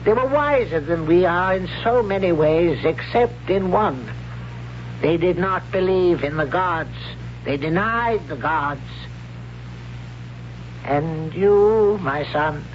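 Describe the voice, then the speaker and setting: An actor playing a stern, devout older high priest and father in a solemn, declamatory 1970s radio drama style. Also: expressive, takes it slowly. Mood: commanding. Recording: dull, noisy 1970s radio recording.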